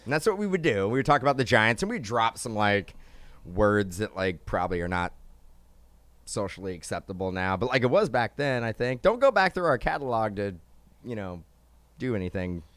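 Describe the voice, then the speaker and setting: Man, lowish voice, dry close mic, rambling, lots of fillers, casual podcast co-host. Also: sing-song, brisk, fairly bright tone, dry recording. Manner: rambling, restarts often, lots of "like" and "um". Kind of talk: casual hobby podcast chat. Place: podcast studio.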